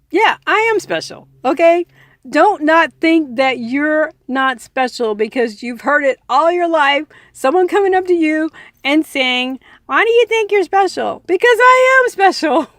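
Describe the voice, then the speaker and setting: A woman reading a book aloud as a narrator, in a deliberate manner, with informal personal commentary of her own. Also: high voice, animated, fairly bright tone, no room sound, clean studio sound. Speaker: audiobook reader adding own commentary.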